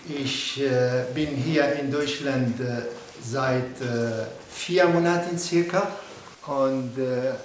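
A man, very slowly, pausing often to find each word. The room echoes, and a faint hiss sits underneath. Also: mid-range voice. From Syria. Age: 60s. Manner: halting learner speech, many hesitations. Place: indoor studio backdrop.

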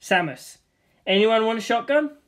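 A man reading a comedic screenplay aloud in a flat, deadpan manner. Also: sits high, normal speed. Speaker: reader of a script.

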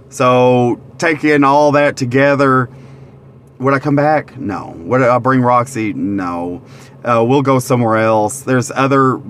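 A man's voice: mid-range voice, a bit bright, a little hiss or hum. A man, reviewing a meal to camera from inside a parked car in a rambling, easygoing way with lots of fillers. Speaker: vlogger, restaurant reviewer. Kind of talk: casual review talk to camera. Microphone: phone camera at arm's length.

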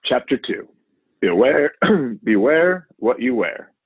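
A man giving an expressive, theatrical read-aloud of a children's storybook. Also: mid-range voice, takes it slowly, breathy edge. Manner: animated, theatrical delivery. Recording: narrow, phone-like audio.

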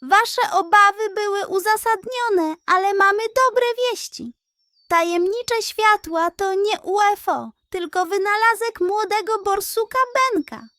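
A woman voicing an earnest, kindly male piglet detective in a children's bedtime story, explaining things in an animated way. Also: very high voice, measured pace, dry recording, pitched above the performer's own voice, full character voice. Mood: announcing. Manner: animated, explaining, morally instructive.

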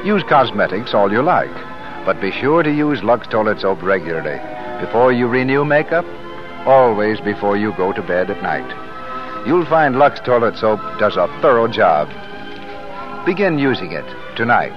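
A man's voice: lowish voice, sing-song, normal speed, over music. A man with an American accent announcing and reading ads in a polished, emphatic 1930s radio style. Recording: dull vintage 1930s radio broadcast.

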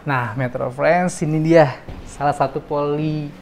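A man's voice: on the high side, fairly even intonation, a little slow. A young man guides viewers through a hospital clinic in a casual, chatty way, tagging his lines with friendly questions. He talks into a lapel mic indoors, with faint background music under his voice. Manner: chatty, upbeat, ends lines with tag questions. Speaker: host. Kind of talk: casual guided tour chat. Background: soft background music.

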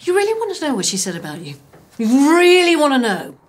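High-voiced, scathing British woman boss. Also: wildly swooping pitch, brisk. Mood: biting. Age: middle-aged. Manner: sharp, sarcastic, exasperated. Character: furious, foul-mouthed woman boss of a radio drama office. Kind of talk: comedy soap acting. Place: office, indoors.

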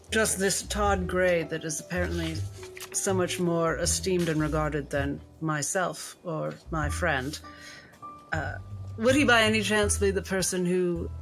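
A woman voicing a genteel doctor in a tabletop game, polite and prim while quietly scheming. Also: lowish voice, slow pace, soft background music, poor audio. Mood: polite, probing.

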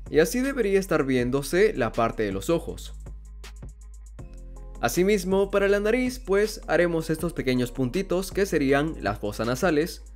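Male drawing tutor, fairly high voice with lively, rising intonation over faint music. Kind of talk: step-by-step drawing tutorial narration. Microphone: voice-over over hands-on drawing footage.

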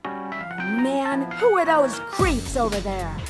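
A woman's voice: high voice, sing-song, takes it slowly, full character voice. A woman voicing a tough, sassy young female bat in a video game, confrontational in manner. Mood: disdainful. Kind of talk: video game cutscene acting. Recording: music under the dialogue.

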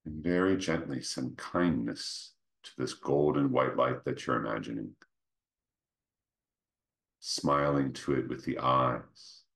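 A man leading a guided meditation, speaking gently and without hurry, in short phrases with pauses between them. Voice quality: very deep, creaky. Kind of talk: guided meditation, slow soothing instruction.

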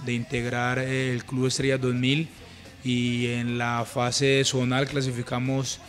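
A young Colombian man speaking earnestly into a handheld mic from a sofa at home. His voice sits at a middle pitch and barely moves, calm and steady, with frequent small restarts. Soft music plays behind him. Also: slow. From Urabá, Colombia. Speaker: interviewed footballer. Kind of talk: reflective interview answers. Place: living room, on a sofa indoors. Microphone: handheld mic held at chest.

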